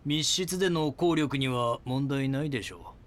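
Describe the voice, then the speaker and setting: An actor playing a self-assured cult guru villain in an audio drama, pontificating with assurance. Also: male voice, mid-range voice, normal speed, lightly acted.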